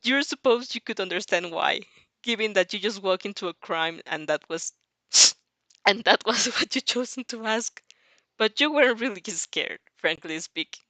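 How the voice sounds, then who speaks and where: A woman's voice: medium pitch, expressive, normal speed, sharp tone, no room sound. Woman, giggly fanfic reader and reactor, very dry close sound. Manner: giggly, often breaks into laughter. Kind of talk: audio fanfic reading with live reactions.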